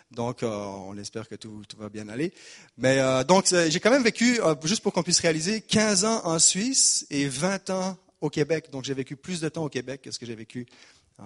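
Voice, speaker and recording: A man in his forties from Geneva, with a mixed Swiss French and Québécois accent, preaching a sermon in a chatty, self-deprecating way, hesitating often. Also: medium pitch. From Geneva, Switzerland. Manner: chatty and self-deprecating, frequent "euh" and word repeats. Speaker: pastor.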